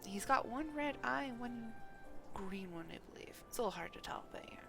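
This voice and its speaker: Woman streaming casually, a little creaky. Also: fairly high pitch, lively intonation, brisk, music in the background. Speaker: game streamer. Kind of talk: casual live gameplay commentary.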